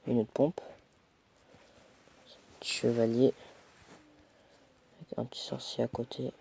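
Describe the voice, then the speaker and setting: A man's voice: medium pitch, level tone, slow pace, creaky, dark tone, slight background noise. Young dude with a stuffy cold talking over his game, jokes at himself, mutters moves under his breath. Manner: chatty, self-mocking, mutters while playing. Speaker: gamer commentating his own play. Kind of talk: casual gameplay commentary.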